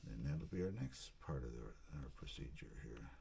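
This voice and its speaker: A man giving a casual, halting hands-on unboxing commentary, muttering to himself as he works. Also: very deep voice, sing-song, warm tone. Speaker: video host, product unboxer. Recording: clear background noise, handling clicks.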